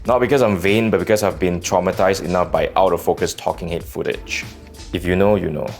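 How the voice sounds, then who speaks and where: A man's voice: sits low, a little fast, gravelly, faint music behind. A man with a Malaysian accent reviewing gear from a script, dropping wry joking asides. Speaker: tech reviewer.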